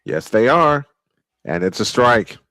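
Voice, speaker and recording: A man calling a baseball game live, unhurried, filling the pauses between pitches. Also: very animated, fairly bright tone, no room sound. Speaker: play-by-play baseball announcer. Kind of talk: live sports commentary.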